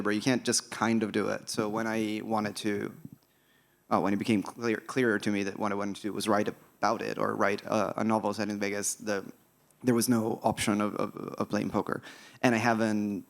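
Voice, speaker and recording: A man speaking as an author guest at a festival panel, relaxed and thinking aloud with pauses. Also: on the low side. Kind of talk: panel talk in English.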